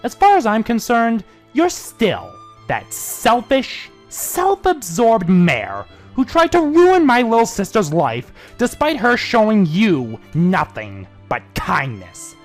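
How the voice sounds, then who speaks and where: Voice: medium pitch, wildly swooping pitch, takes it slowly, hardly any pauses, voice pushed up. An amateur reader voicing a protective big-brother stallion in a dramatic fan fiction reading, emphatic, wounded and menacing, with music behind. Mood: menacing fury.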